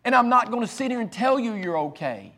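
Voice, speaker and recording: A man preaching a sermon, his voice raspy from cheering at ball games and allergies, with a fervent way of building to emphatic, repeated lines. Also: very high voice, sing-song, a little fast, a bit bright. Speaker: pastor.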